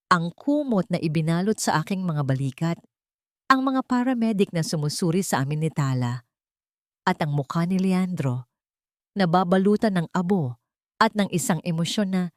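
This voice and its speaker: A woman's voice: fairly low pitch, no echo, studio quality. A woman reading a dramatic first-person story as a scripted audiobook narration.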